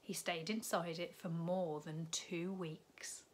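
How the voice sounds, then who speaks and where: A woman, a primary school teacher reading a picture book aloud to children from her living room. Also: fairly low pitch. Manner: slow, expressive storytime reading with lively rises on key words. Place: living room at home, indoors.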